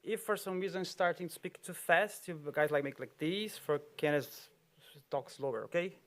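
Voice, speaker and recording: A man's voice: a little high, moderate pace. Brazilian man giving a chatty tech talk. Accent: Brazilian Portuguese. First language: Portuguese. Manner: loose, chatty, many "like" fillers and small laughs. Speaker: conference speaker. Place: auditorium.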